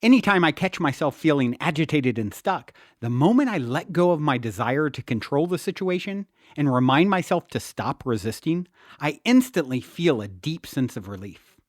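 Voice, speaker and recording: Man reading with lively, swooping pitch. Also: moderate pace, no room sound, studio quality. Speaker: author reading own audiobook. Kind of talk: scripted self-help audiobook narration.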